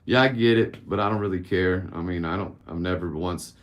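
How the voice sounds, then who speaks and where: A man's voice: sits low, brisk, lo-fi sound. A man around fifty hosting a casual live-stream Q&A, candid and blunt, rambling and swearing casually. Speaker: livestream host answering viewer questions.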